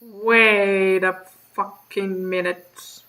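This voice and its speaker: A woman streaming a visual novel, reading the narration aloud and reacting in an expressive, sweary way. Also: medium pitch, very slow.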